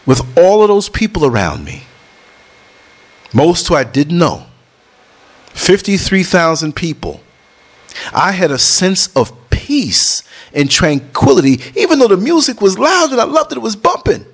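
A man's voice: very animated, a little slow, husky. Emphatic New man preaching unity. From New York City. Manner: emphatic, preachy, jumps between high and low tones. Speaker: vlogger and podcast host. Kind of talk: casual talk over concert footage.

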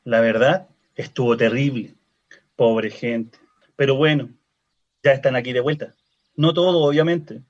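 A man's voice: mid-range voice, steady tone, a little slow, pauses often, lo-fi sound, close to an everyday voice. A man with a Chilean accent playing a chatty, teasing cemetery worker in a radio drama. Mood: friendly, weary. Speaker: actor. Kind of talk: radio drama acting, colloquial dialogue.

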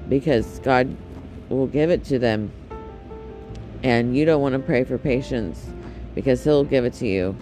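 Raspy man chatting casually. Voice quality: deep and raspy, especially in the morning. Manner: rambling and candid, many ums and self-corrections. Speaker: podcast host. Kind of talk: casual solo podcast chat.

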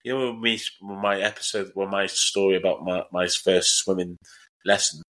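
Low-voiced joking young man. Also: steady tone, moderate pace, a bit bright. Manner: self-mocking, joking asides. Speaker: podcast co-host. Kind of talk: casual podcast chat.

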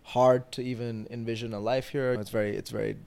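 A young man with an American accent, chatting as a podcast guest, quick and thinking aloud with restarts. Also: mid-range voice, level tone, vocal fry, darkish tone, clean tone. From grew up between the Bay Area and India. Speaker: podcast guest, startup engineer. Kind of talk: casual interview chat.

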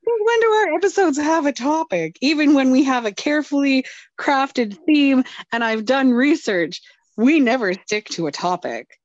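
A woman's voice: high voice, lively intonation, moderate pace, dry recording, highs cut off. Drowsy, self-deprecating woman podcast co-host. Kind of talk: casual chat.

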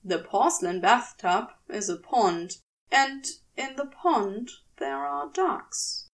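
A woman reading scripted fiction aloud as an expressive audiobook-style narrator. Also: on the high side, slow pace, gravelly, far from the mic. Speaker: fan fiction podfic narrator.

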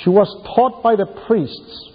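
A man's muffled voice rings through a long hall reverb. He preaches at an unhurried pace, breaking his thoughts into short, emphatic phrases. The delivery is that of a homily told as a story. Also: high-pitched. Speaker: preacher reading a translated homily. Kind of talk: sermon, retelling Bible stories.

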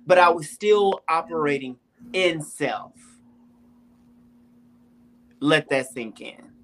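A woman's voice: low voice, expressive, slow pace. A woman in her thirties telling her personal testimony in an interview, emphatic and repeating phrases for weight. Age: 30s. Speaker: interview guest.

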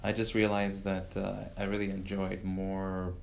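A man in his thirties from Uganda, a professor answering interview questions in a measured way, with many "uh" fillers and restarts. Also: deep voice, monotone, creaky, slight background noise. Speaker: professor and guest. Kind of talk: podcast interview.

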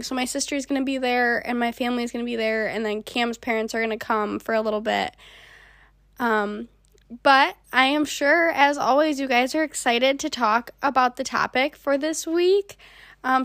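A woman's voice: high voice, a bit bright, no room sound, studio quality. A young woman in her twenties hosting a casual solo podcast, congested from a cold, chatty with frequent ums. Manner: chatty, many ums, laughs often. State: sick, congested. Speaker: podcast host.

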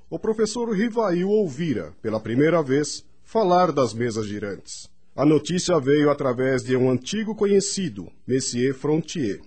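A man's voice: medium pitch, a little mellow, slightly rough. A man narrating a radio drama from a script in a storytelling style.

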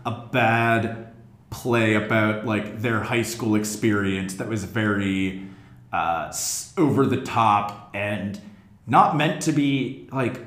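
A man's voice: fairly low pitch, slow pace, a bit bright. A man hosting a casual theatre podcast discussion, speaking analytically and with opinions, posing questions to the group. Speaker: podcast host. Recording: echoey, reverberant room.

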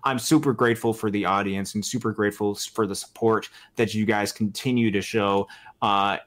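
A man co-hosting a sports podcast, explaining things in a measured, earnest way in casual commentary. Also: lowish voice, fairly even intonation, a bit bright.

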